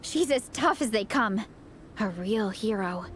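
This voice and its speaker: A woman's voice: mid-range voice, expressive, measured pace, slight background noise, lightly acted. A woman voicing a supportive, confident young athlete in a video game, sincere and encouraging in the way she talks.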